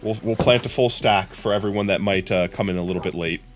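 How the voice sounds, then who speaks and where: A young adult man streaming a game live, chatting casually with his viewers in a hoarse, rambling way. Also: on the low side, fast talker, music in the background. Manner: chatty, rambling, often addressing chat.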